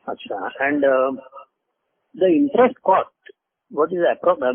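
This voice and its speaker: A man with an Indian accent and a husky voice, asking investor questions as a caller on an earnings call. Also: on the high side. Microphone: telephone. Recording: tinny phone line.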